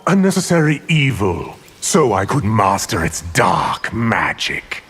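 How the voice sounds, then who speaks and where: An actor playing a menacing, haughty sorcerer in a video game, with theatrical and formal archaic speech. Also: male voice, lowish voice, big pitch swings, takes it slowly, fairly bright tone, breathy edge, full character voice. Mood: sinister. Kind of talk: video game character dialogue.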